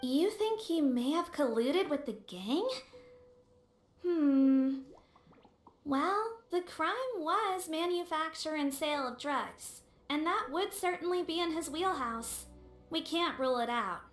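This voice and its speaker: A woman playing a diligent, inquisitive investigating officer in a video game, expressive and pondering as she speaks. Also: high-pitched, slow, echoey room, faint music behind.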